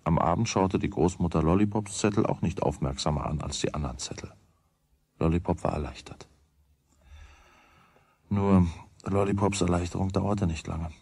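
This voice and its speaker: A man's voice: low voice, moderate pace, vocal fry, pauses often, a little mellow, slightly rough, dry recording, full character voice. A man narrating a children's radio play in a scripted storytelling style.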